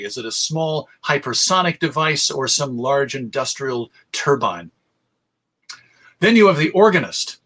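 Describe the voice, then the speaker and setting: A man presents a history talk in a conversational, storytelling manner. His voice is fairly high and bright, with emphatic delivery. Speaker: presenter, radio show host. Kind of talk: illustrated history talk to an audience.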